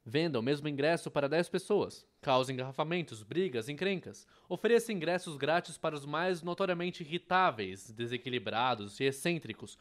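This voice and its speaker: A man with a Brazilian accent reading a scripted educational narration, with a wry, ironic manner. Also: fairly high pitch. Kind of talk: scripted explainer narration, dubbed.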